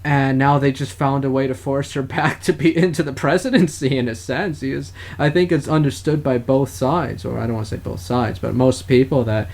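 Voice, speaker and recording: A man co-hosting a casual political talk podcast, speaking in a halting way and often correcting himself. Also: medium pitch, steady tone, a little mellow. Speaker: podcast co-host. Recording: clear background noise.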